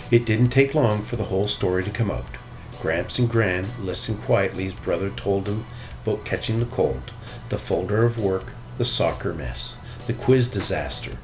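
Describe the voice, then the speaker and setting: A man narrates a children's story in a fairly low voice. His intonation is lively and varied, and light music is present. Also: rough recording. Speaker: storybook reader.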